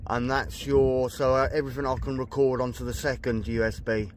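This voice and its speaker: A man talks casually about his boat's chart plotter while out on the water, trailing off and correcting himself as he goes. His voice sits at a middle pitch. Outdoor noise from the water carries clearly behind him. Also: flat delivery, brisk. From England. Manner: rambling, with fillers and self-corrections. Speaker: fishing vlogger. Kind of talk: casual gear review. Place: on a small boat outdoors.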